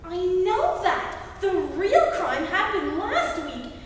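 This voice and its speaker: Woman playing a very high-pitched, scatterbrained radio wife. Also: sing-song, takes it slowly, heavily acted. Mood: naive, insistent. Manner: earnest, naive, literal. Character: scatterbrained, cheerfully stubborn wife, comically literal-minded. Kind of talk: 1940s radio comedy acting on stage. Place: theatre stage, live performance. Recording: echoey hall, distant mic, faint noise.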